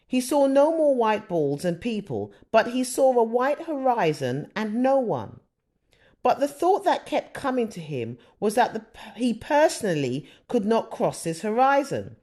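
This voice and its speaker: Female audiobook reader for faith-based teaching text; animated, wide-ranging pitch, deliberate and emphatic delivery.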